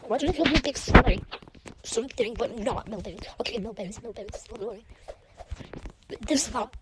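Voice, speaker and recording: A woman giving casual gameplay commentary, often repeating short phrases in quick bursts. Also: on the high side. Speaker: gamer commentating own play. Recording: noisy home recording with clicks.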